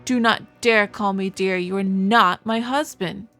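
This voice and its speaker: A woman's voice: medium pitch, measured pace, a bit bright, soft background music, lightly acted. A woman voicing a distressed, insistent young wife in a visual novel, speaking earnestly. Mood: indignant. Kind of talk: visual novel dialogue read aloud.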